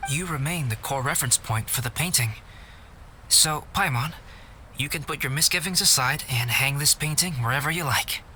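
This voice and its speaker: A man voicing a calm, cultured young artist in a video game, speaking in a measured, formal, politely aloof way. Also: medium pitch, slight background noise. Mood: gentle, reflective. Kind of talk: scripted game voice acting.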